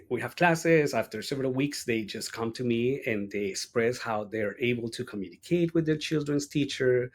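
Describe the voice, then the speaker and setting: A man in his late thirties with a Colombian accent, a native Spanish speaker, reflecting on his life as an interview guest in halting, thoughtful phrases. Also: mid-range voice. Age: late 30s. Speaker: interview guest, language teacher. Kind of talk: reflective personal interview talk.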